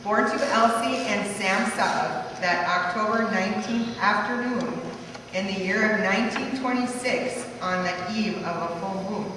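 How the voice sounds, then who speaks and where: A woman reading a rhyming family life story aloud, now and then stumbling over the words. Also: mid-range voice. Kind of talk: rhyming verse read aloud. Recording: echoey hall from far off, faint noise.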